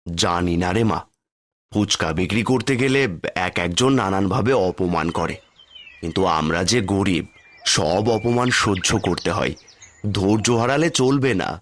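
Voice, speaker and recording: Man playing a downhearted poor father, swooping pitch. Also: animated, normal speed, fairly bright tone. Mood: weary, pleading. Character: poor, patient, downhearted village street-food seller and father. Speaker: cartoon voice actor. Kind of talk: cartoon dubbing.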